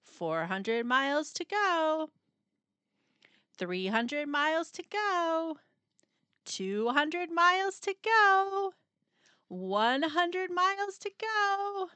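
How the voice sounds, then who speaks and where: A woman narrating a children's picture book aloud in a dramatic, expressive storytelling manner with suspenseful pauses. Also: very high-pitched, dry recording.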